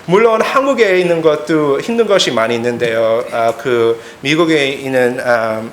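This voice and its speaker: A young man with an American accent preaches with personal anecdotes, pausing often to search for words. His pitch sits in the middle for a man. The room echoes around his voice, with a little noise behind it. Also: lively intonation. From United States, Korean immigrant family. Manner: halting, searching for words, simple phrasing.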